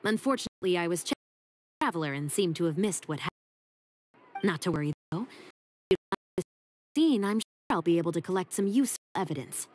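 A woman's voice: fairly low pitch, lively intonation, a little mellow, slight background noise, natural voice. Woman acting formal, stern young police captain. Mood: composed, sly. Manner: formal, measured, authoritative. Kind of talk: scripted video game voice acting.

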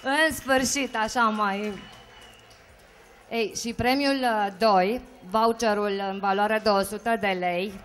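A woman's voice: mid-range voice, steady tone, fairly bright tone. A middle-aged woman presenting prizes on a club stage, holding a handheld mic and rallying the crowd for applause. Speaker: awards presenter. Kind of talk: live stage emcee announcing winners. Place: stage of a rock cafe with karaoke audience. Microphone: handheld microphone.

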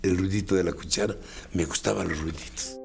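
A man's voice: low voice, steady tone, fairly quick, over loud music. An older man with a Mexican accent, a sound effects artist reminiscing casually and colloquially in an interview. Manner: colloquial, fond of diminutives. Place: dim indoor recording studio. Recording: clear background noise.

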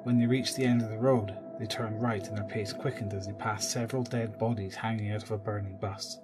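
A man's voice: fairly low pitch, steady tone, brisk, loud music behind the voice. A man narrating a scripted horror audio drama.

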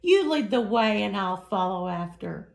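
A woman's voice: medium pitch, lively intonation, measured pace, vocal fry. A woman voicing a big, hungry, gleeful monster in a storybook, exclaiming heartily. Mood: hungry, amused.

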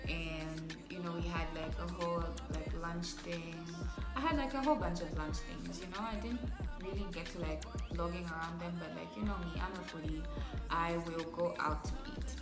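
A young woman talking casually to camera in her bedroom, chatty and full of fillers, laughing often, filmed at arm's length. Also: on the low side. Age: young adult. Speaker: vlogger. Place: bedroom at home, indoors. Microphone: phone or camera at arm's length.

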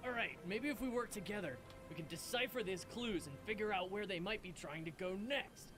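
Man voicing a pompous, excitable echidna blowhard. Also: high voice, fairly quick, over music. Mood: earnest. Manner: pompous, lecturing, swearing. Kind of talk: improvised comedy fan dub. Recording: noisy playback of a fan dub.

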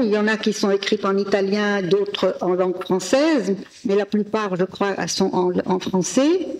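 A woman moderator reading a speaker's biography from notes at a desk mic, with pauses and fillers. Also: mid-range voice, a little mellow, rough recording. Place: ornate hall indoors. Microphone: desk gooseneck mic.

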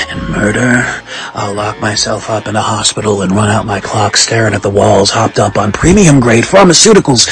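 Comic-book villain: creaky, forceful, sardonic madman with theatrical, mocking, drawn-out menace; music underneath. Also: mid-range voice, exaggerated voice. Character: sardonic, menacing, drugged male supervillain madman, theatrical and gleefully hateful. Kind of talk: comic dub voice acting.

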